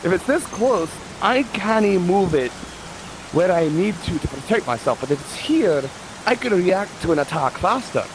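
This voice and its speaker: A man voices an eager peg-legged fighter in a live fantasy roleplay. His male voice sits fairly high and he talks earnestly, like a keen student. Clear hiss and noise run over the game chat. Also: lively intonation, normal speed.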